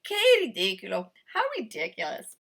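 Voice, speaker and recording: A woman voicing a gruff middle-aged male farmer who exclaims and scolds loudly. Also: mid-range voice, wildly swooping pitch, moderate pace, pitched below the performer's own voice, exaggerated voice. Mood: mocking.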